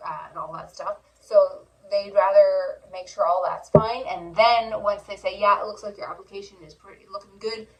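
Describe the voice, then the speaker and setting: A soft-spoken woman co-hosting a casual chat, explaining step by step with many pauses and ums. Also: medium pitch, fairly even intonation, distant mic, rough recording. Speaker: co-host.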